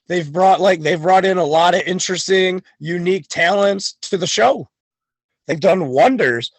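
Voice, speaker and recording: A man's voice: sits high, moderate pace, no echo. A man talking as a wrestling guest on a podcast, boastful and hyped, full of swagger. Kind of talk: podcast chat.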